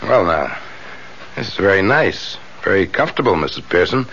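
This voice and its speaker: An actor playing a polite, probing, quietly menacing salesman lodger in a 1970s radio drama. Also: low voice, very animated, normal speed, heavily acted. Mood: calmly sinister. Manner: polite, smooth-talking, probing. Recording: dull, noisy 1970s radio recording.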